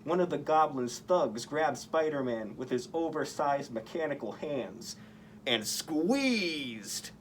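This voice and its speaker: A man's voice: a little high, lively intonation, takes it slowly, a little hiss or hum. A man reading a picture book aloud to children as a costumed story-time performer. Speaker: costumed story-time reader in superhero persona.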